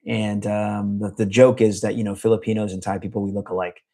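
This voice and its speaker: A man's voice: fairly low pitch, level tone, a little fast, gravelly, warm tone. A middle-aged man from the United States, chatting casually with a live chat in a rambling way, full of fillers and restarts. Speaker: vlogger answering a live chat.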